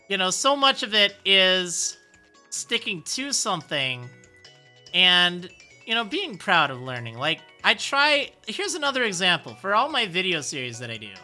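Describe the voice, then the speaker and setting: A man with a high, youthful-sounding voice streaming a game, reading viewer messages and answering with rambling encouragement. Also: sharp tone. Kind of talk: casual live-stream chat.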